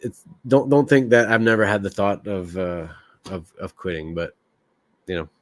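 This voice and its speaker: A man's voice: on the low side, animated, moderate pace, no echo. A man from Quebec hosting a podcast, talking candidly and rambling with fillers. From Quebec, Canada. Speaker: podcast host. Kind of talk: casual solo podcast talk.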